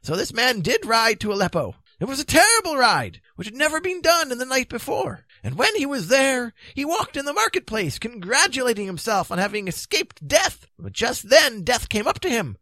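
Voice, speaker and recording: A whimsical old wizard acted by a man holds forth in a high, wildly animated voice. He is a wise storybook sage who lectures in musing asides, with a bright, forward tone. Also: normal speed, hardly any pauses, no echo, exaggerated voice. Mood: storytelling, dramatic.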